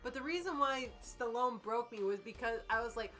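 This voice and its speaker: A woman's voice: fairly high pitch, moderate pace, fairly bright tone, soft background music. A woman in casual, jokey, profane banter and mock-dramatic reading, stumbling over odd words as she goes. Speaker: co-host reading fanfiction aloud and critiquing it.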